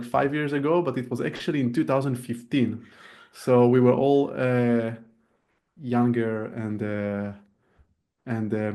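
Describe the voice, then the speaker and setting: A man in his thirties, an urban planner, giving a relaxed presentation over a video call with frequent fillers. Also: medium pitch. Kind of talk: casual presentation.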